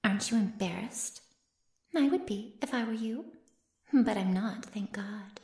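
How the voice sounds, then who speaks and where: A woman's voice: medium pitch, unhurried, mellow, echoey room, close to an everyday voice. A woman voicing a sly, haughty flesh-eating female monster in an ASMR roleplay, mocking and mock-innocent as she savors her words. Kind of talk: ASMR roleplay audio drama.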